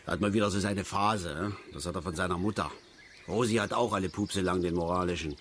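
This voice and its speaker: A man's voice: deep voice, fast talker, fairly bright tone, slight background noise. An actor playing a cantankerous, opinionated middle-aged father and angler in a radio play, lecturing and easily worked up. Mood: gruff, dismissive. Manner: blustering, lecturing, repeats words in agitation. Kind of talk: naturalistic radio play acting.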